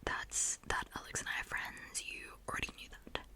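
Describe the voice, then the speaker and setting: A woman's voice: moderate pace, lightly acted. A woman voicing an earnest, nerdy teenage gamer girl in a whisper. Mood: explaining.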